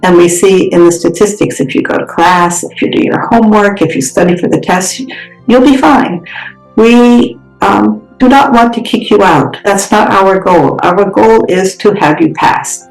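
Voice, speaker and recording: A woman's voice: medium pitch, normal speed, gravelly, darkish tone, reverberant, soft background music, low-quality recording. An American woman, a program director, patiently explaining how the program works in a podcast interview. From United States. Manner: reassuring, explanatory. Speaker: regional director of a freshman program.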